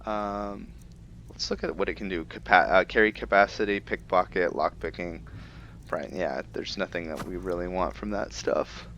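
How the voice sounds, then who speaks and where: A man's voice: sits low, moderate pace, fairly bright tone, slight background noise. A man giving casual roleplay gameplay commentary, thinking aloud and searching for his words. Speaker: gaming video host.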